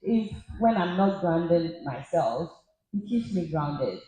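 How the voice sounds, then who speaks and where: A woman, speaking as a guest on a stage panel in an animated, laughing, storytelling way. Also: fairly low pitch, slow, a little hiss or hum. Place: large church auditorium. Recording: echoey hall.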